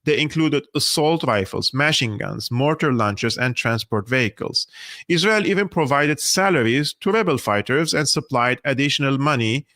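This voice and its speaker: A man's voice: medium pitch, lively intonation, normal speed, sharp tone, dry recording, clean studio sound. A man from Syria, hosting a political live stream, arguing emphatically and reading an article aloud. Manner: emphatic and argumentative, with restarts. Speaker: host of a political analysis channel.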